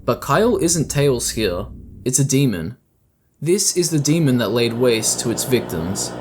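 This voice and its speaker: Man narrating over clearly audible background ambience. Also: mid-range voice, sing-song, a little slow, background noise. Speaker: video essay host. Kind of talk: scripted narration, explanatory commentary.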